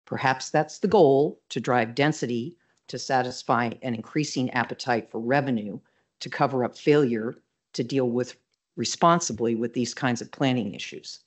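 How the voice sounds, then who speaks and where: A man with a mid-range voice reads a prepared statement into a podium microphone. He is measured but indignant. His voice carries through a county meeting room. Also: mid-range voice, breathy edge. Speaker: public commenter. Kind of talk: reading a prepared statement at a hearing.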